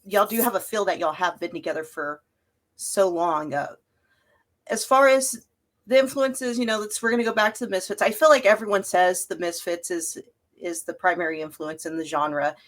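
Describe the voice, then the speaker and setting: A woman's voice: on the low side, moderate pace. A woman interviewer talking casually and eagerly with a band, saying y'all. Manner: enthusiastic, informal, says y'all.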